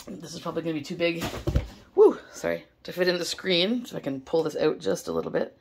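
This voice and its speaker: Young woman, hoarse and low, gravelly edge; chatty vlogger, lots of fillers, excited. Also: fast talker, background noise. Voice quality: gravelly, strained. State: hoarse, losing her voice. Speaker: stationery vlogger. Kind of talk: casual unboxing vlog. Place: indoors at a table, filming overhead. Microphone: camera held close over the table.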